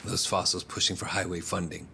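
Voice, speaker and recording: An actor playing a composed, self-assured male politician in a video game, speaking in measured, polite phrases. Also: deep voice, little pitch movement, creaky, sharp tone, slight background noise, exaggerated voice. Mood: composed, confident. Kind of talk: English video game voice acting.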